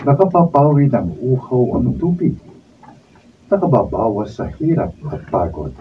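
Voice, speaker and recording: A man announcing a radio drama in a measured, solemn, theatrical scripted style. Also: medium pitch, very animated, far from the mic, phone-quality sound, a little hiss or hum. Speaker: drama announcer reading moral and credits.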